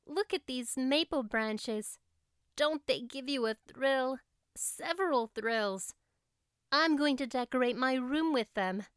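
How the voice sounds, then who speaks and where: Audiobook role: woman as exuberant young girl, high clear voice, gushing and dreamy. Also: expressive, slow pace, no room sound, studio quality. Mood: excited, delighted. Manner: gushing, breathless enthusiasm. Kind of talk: audiobook character voice.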